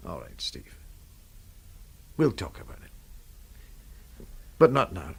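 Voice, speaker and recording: Haunted washed-up charmer, husky breathy voice, swinging pitch, jittery, evasive, radio mystery drama. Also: male voice, sing-song, takes it slowly, a bit bright, heavily acted. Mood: haunted, defeated. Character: haunted, nervous faded talent, man with old charm.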